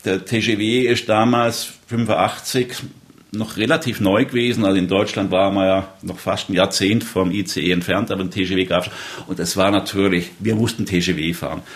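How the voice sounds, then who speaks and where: A man's voice: low voice, fairly even intonation, fairly quick. A man in his fifties from southern Baden in Germany, telling stories conversationally as an interviewee. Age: 50s. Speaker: interviewee recalling rail travels. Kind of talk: conversational storytelling.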